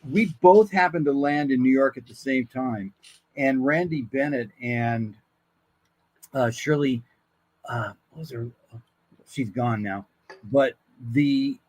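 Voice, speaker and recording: An older man with an American accent tells loose, casual stories as a guest. His pitch is average and the sound is dry, with very little room. He acts out both sides of the conversations he recalls. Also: takes it slowly, long pauses, no room sound, low-quality recording. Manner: animated, acts out quoted dialogue. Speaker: guest voice actor. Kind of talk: casual anecdotal storytelling.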